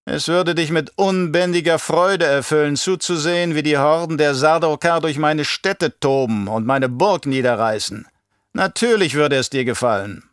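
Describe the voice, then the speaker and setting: Guy doing a scheming old baron villain for an audiobook, high silky voice, looks down on everyone. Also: normal speed, clear, resonant tone, no room sound, studio quality, close to an everyday voice. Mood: mocking. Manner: silky condescending, theatrical villain delivery. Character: scheming, mocking, imperious older male baron, uncle. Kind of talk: audiobook character acting.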